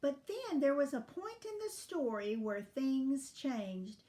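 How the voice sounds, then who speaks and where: A woman storyteller reading expressively for children in a deliberate, teacherly manner. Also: high-pitched, very animated, mellow.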